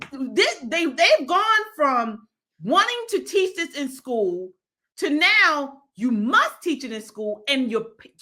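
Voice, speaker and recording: A woman talking in casual political chat, animated and quick to agree. Her voice sits high and bright, and it leaps up and down as she goes. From Maryland, United States. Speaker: co-host.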